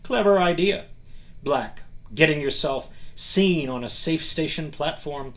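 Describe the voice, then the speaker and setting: A waspish, superior male wizard teacher from a storybook, acted out by a male reader. He speaks with silky sarcasm and delicate stress, his animated pitch sliding around as he sneers. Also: measured pace. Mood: mocking.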